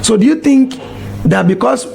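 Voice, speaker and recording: A man's voice: very high voice, animated, moderate pace, darkish tone, faint music behind, rough recording. A man, preaching a monologue full of exhortations and rhetorical questions. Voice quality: gravelly. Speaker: religious commentator.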